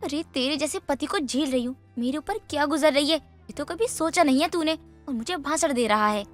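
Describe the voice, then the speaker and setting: A woman's voice: high voice, brisk, soft background music, natural voice. A woman voicing a haughty young wife in a Hindi story cartoon, snappish, bossy and contemptuous. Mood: scornful, angry. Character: haughty, scornful young wife berating her husband. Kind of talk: Hindi animated story dialogue.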